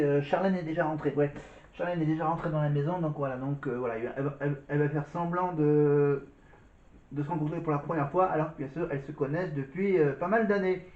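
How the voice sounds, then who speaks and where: A man's voice: on the high side, a little mellow. A man giving casual commentary on a reality show, rambling and repeating his filler words. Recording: roomy, muffled recording.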